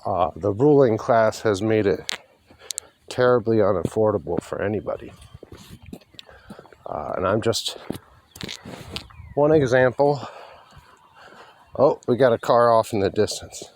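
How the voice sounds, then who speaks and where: A man giving a rambling, folksy and wry walking vlog monologue, speaking haltingly and with strong opinions as he walks outdoors along a road. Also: lowish voice, a little mellow, a little hiss or hum. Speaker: vlogger.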